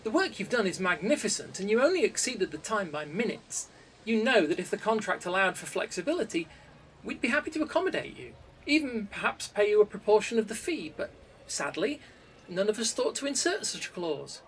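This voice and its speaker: A man voicing a smooth-talking male schemer with a soothing, calming manner, recorded a bit distant from the mic. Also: high-pitched, a little fast, a little hiss or hum, voice pushed up. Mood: placating.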